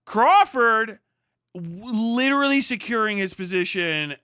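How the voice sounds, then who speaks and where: High-pitched man riffing on a livestream. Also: sing-song, measured pace, sharp tone, smooth, dry recording. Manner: rambling, heavily profane, emphatic. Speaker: livestream host. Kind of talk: casual opinionated livestream commentary.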